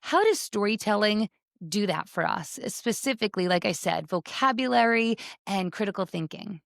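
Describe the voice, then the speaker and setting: A woman's voice: mid-range voice, animated, normal speed, gravelly, no room sound, studio quality. A woman hosting a podcast interview in a conversational way, enthusiastic, emphatic and encouraging toward her guest. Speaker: podcast host, homeschooling mother.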